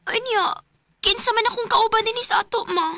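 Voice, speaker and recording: High-pitched, bright Filipino schoolgirl daughter. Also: normal speed, no room sound, full character voice. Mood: worried. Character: polite, trusting schoolgirl daughter. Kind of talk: Filipino radio drama acting.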